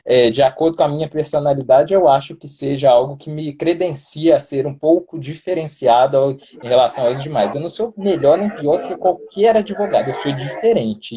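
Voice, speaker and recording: Brazilian lawyer guest on a phone live stream; dark, slightly husky voice; wordy, jokey, self-mocking. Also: male voice, mid-range voice. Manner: self-deprecating, joking, wordy. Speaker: criminal defense lawyer, guest. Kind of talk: casual live-stream interview.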